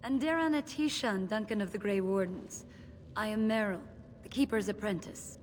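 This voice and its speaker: A woman's voice: medium pitch, moderate pace, lightly acted. A woman voicing an earnest young elven apprentice in a video game, polite and formal in her speech. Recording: echoey cave reverb, clear background noise.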